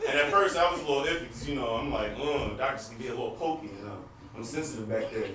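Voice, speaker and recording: A man's voice: medium pitch, fast, natural voice. A man acting a frustrated, wisecracking husband in a comedic stage monologue, talking straight to the audience with exaggerated, self-deprecating delivery. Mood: cocky, joking. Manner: conversational, addresses the audience, exaggerated. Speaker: stage actor performing a monologue. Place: stage in a theater. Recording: noisy, echoey theater recording from far off.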